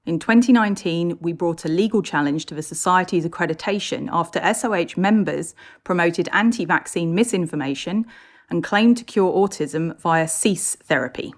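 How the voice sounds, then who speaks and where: An Australian woman reading a scripted news report in a measured, formal way. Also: lowish voice. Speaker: guest news reader.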